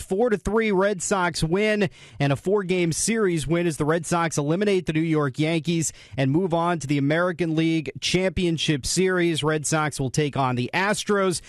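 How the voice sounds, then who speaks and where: A man's voice: high-pitched, dry recording. A man hosting a post-game sports radio show from a studio.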